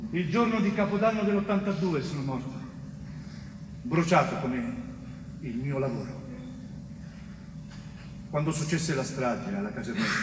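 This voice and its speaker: An actor playing a dead police chief in a stage monologue, bitter and lucid, with deliberate dramatic pauses and falling phrase endings. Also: male voice, on the high side, close to an everyday voice. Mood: grave, sombre. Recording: roomy stage recording, distant mic, faint noise.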